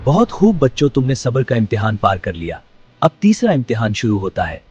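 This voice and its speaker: Give the palace's owl guardian , acted by a man, a solemn, instructive delivery in a mid-pitched voice. He is a wise cartoon creature who teaches children morals. The audio is a little dull, with music underneath. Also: huge pitch swings, darkish tone. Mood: solemn, welcoming. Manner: measured, instructive.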